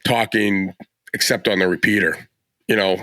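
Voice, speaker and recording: Bright, lowish-voiced male podcast host. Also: fairly even intonation, normal speed, no room sound. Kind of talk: casual chat, asking questions.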